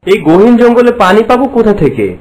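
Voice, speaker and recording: A man's voice: high-pitched, expressive, quick pace, darkish tone, low-quality recording. A man playing a brave, protective young hero in a fairy-tale cartoon, speaking earnestly.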